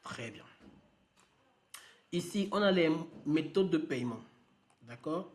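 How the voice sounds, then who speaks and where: A man's voice: on the high side, expressive, slow pace. A man leading a live online lesson, talking casually and thinking aloud with many fillers as he works on screen. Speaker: trainer.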